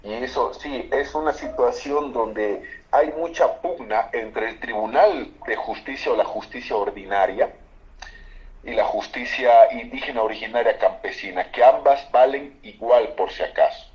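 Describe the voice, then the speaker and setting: A man's voice: mid-range voice, a little slow, darkish tone, lo-fi sound. A man from Bolivia, a lawyer, explaining constitutional law emphatically on a political talk show. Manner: emphatic, explanatory, repeats for stress.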